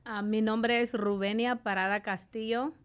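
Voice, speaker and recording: A woman's voice: fairly high pitch, steady tone, measured pace, clear, resonant tone. Salvadoran woman sharing her life story. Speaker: interview guest. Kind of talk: recounting personal life in conversation. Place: small radio studio. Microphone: close desk microphone with headphones.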